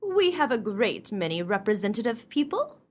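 An actress playing a self-important, exacting society matron in a radio play, speaking in an emphatic, formal way. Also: female voice, medium pitch, sing-song, moderate pace, a little mellow, husky. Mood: lofty, self-satisfied.

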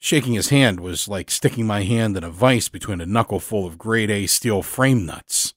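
Low, dry-sounding male storyteller. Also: normal speed, no echo. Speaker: storyteller, narrator. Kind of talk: dramatic first-person scripted narration.

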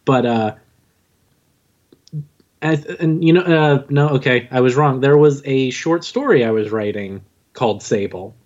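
A man's voice: medium pitch, measured pace, darkish tone, highs cut off. A man chatting informally as a podcast guest about his own writing, with a self-deprecating manner. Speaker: podcast guest, audio drama writer and narrator. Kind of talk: casual interview chat.

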